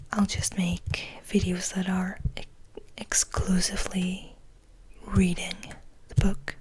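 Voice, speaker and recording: A woman ASMR host speaking and reading in a gentle, breathy whisper. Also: medium pitch, poor audio. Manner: gentle, soft-spoken.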